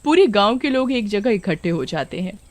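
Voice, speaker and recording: A woman's voice: medium pitch, very animated, moderate pace, no room sound. A woman reading scripted narration for a children's story.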